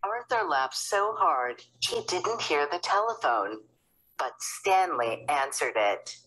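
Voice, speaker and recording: A woman reading a children's storybook aloud in an expressive narrating style. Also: low-pitched, takes it slowly, slightly rough, rough recording. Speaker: storybook reader.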